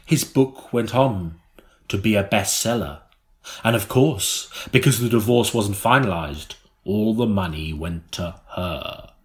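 A man narrating an audiobook in a theatrical, melodramatic style, with dramatic pauses. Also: lowish voice.

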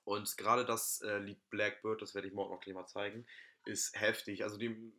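A young man co-hosts a casual podcast. His voice is low, gravelly and bright-edged. He talks in slang and stops and restarts often. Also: little pitch movement. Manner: slangy, halting, full of fillers. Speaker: podcast co-host. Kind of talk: casual chat.

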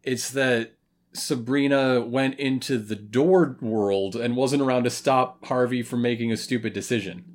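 A podcast co-host in their thirties chatting casually in a review, often pausing mid-thought. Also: medium pitch, fairly even intonation. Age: 30s. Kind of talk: casual review chat about a cartoon.

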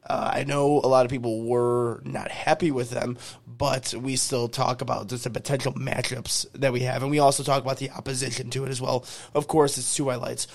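Hoarse man hosting a podcast. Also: level tone, brisk, hardly any pauses. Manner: upbeat salesy pitch, repeats promo details. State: hoarse, losing his voice. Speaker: podcast host. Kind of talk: podcast intro and ad reads.

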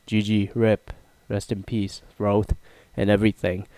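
A man's voice: fairly low pitch, level tone, unhurried, dark tone, no echo. A man hosting a casual solo talk show, rambling and self-mocking, with a nasal, stuffy voice and a throat that is half gone. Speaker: podcast host.

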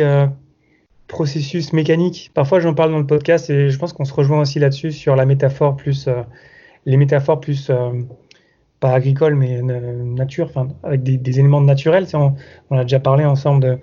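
A man's voice: on the high side, dark tone, highs cut off. A man hosting a podcast, speaking French as a second language, musing aloud and searching for his words. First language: not French. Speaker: podcast host. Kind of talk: casual podcast conversation.

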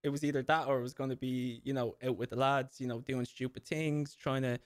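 Irish fitness coach guy chatting on a podcast, mid pitch, dry sound, says "you know" a lot. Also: steady tone, a little fast, no room sound. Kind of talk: casual podcast conversation.

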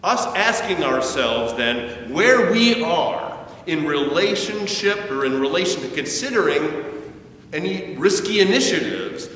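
A man preaching a sermon as a pastor, with measured, deliberate phrasing, emphatic rises and falling sentence endings. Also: fairly bright tone. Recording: very echoey church, distant mic, muffled, faint rumble.

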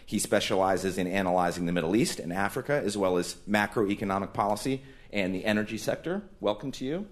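A man with a low-pitched voice hosts a panel discussion at a live event. He speaks as a moderator, introducing guests and putting questions to them. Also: expressive, brisk, few pauses, slight background noise. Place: live event space before an audience.

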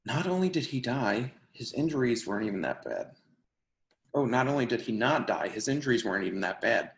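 In a roomy, echoing space, an actor plays an incredulous young man in a staged reading. He has a mid-pitched voice and talks in emphatic, profane, conversational slang. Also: big pitch swings, a little fast, creaky. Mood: amazed, amused. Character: foul-mouthed, incredulous young housemate telling wild stories.

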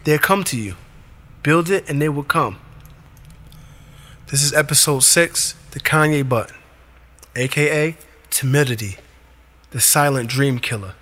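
A man with a raspy voice hosting his own show, delivering an emphatic motivational monologue in short punchy commands. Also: on the high side, a little slow, long pauses, bright, forceful tone. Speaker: podcast host.

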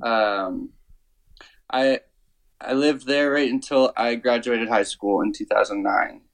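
A man in his twenties from Canada, a musician guest talking casually in an interview, low-key and hesitant, with many fillers and restarts. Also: takes it slowly, low-quality recording. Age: 20s.

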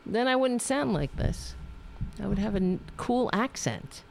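A woman's voice: on the high side, expressive, unhurried, gravelly, slight background noise, low-quality recording. A woman with a New York accent from Staten Island, hosting a political talk show in a rambling, profane monologue full of restarts. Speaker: podcast host.

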